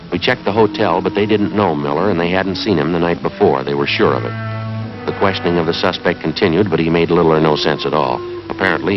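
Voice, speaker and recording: A man's voice: low-pitched, natural voice. An actor in his thirties with an American accent playing a terse, stoic police detective, narrating in a clipped, matter-of-fact way. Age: 30s. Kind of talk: 1950s police drama narration. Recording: dull 1950s TV soundtrack, music underneath.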